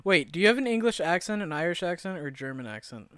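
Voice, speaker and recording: Lively young man streaming, joking. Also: sits high, fast, smooth, no room sound. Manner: joking, swears often, bursts into laughter. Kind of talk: casual gaming stream banter.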